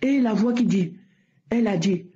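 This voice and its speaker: A woman's voice: mid-range voice, normal speed, mellow. A woman giving an earnest spoken religious testimony, often repeating her words. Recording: muffled lo-fi recording.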